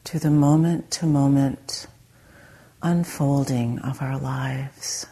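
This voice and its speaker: A woman meditation teacher leading a guided meditation in a soft, breathy, gentle way with long pauses. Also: extremely low pitch, fairly even intonation.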